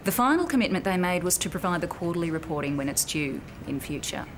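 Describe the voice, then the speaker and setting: Woman acting a lowish-voiced bank officer, noisy recording. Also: lively intonation, fast, lightly acted. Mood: businesslike, reassuring. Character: composed, firm but sympathetic female bank officer debriefing a colleague. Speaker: actor in a corporate training drama. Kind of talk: naturalistic acted workplace dialogue. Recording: clearly noisy location sound with room ambience.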